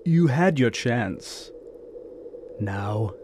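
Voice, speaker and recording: A man playing a coldly courteous, gloating bandit chief in an audio drama, with florid, formal and measured speech. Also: medium pitch, expressive, a little mellow, a little hiss or hum. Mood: proud, assured. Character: gloating bandit chief, scarred former slave trader, coldly courteous villain.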